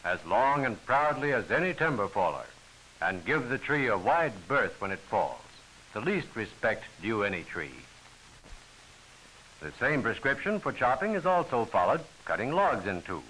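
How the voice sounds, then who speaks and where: A man's voice: mid-range voice, very animated, normal speed, sharp tone, slight background noise, poor audio. A man giving formal, instructional scripted narration for a training film, on an old film soundtrack.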